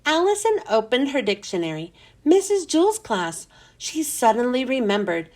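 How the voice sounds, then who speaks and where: Woman reading a kids book out loud, high voice, super animated narration. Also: moderate pace. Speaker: children's read-aloud host. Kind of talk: expressive storybook narration.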